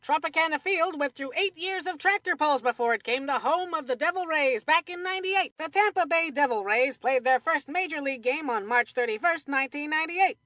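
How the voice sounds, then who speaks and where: Bright, high-pitched folksy baseball commentator kid. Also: female voice, animated, brisk, hardly any pauses, no echo, studio quality. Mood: folksy, informative. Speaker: sports commentator. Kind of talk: scripted video game baseball commentary.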